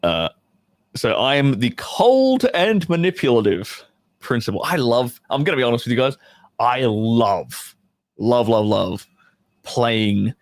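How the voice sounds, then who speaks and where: A man's voice: mid-range voice, very animated, a little slow, no echo, studio quality. A man chatting casually and informally to livestream viewers, thinking aloud as he reads from a script, with banter and some mild swearing. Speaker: adult film actor reading a script to viewers.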